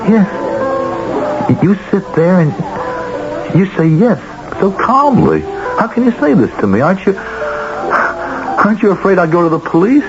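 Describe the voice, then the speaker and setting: An American actor playing an anguished, money-strapped married executive in a halting, emphatic radio drama manner. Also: male voice, high-pitched, big pitch swings. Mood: shocked. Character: anguished, cash-strapped married research department head, wavering and defensive. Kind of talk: 1970s radio drama acting. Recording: muffled old radio broadcast, loud music bed.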